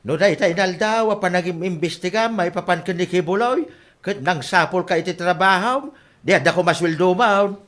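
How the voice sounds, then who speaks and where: A man's voice: sits high, moderate pace, a bit bright. A man with an Ilocano accent acting as an exasperated middle-aged father in a radio comedy drama, scolding and disbelieving.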